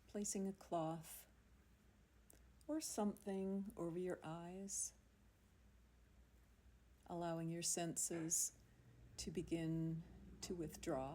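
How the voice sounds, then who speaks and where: Slow, mellow woman guiding relaxation. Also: lowish voice. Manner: soothing, unhurried, with long pauses. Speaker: yoga nidra teacher. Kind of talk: guided relaxation meditation. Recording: amateur recording with faint background noise.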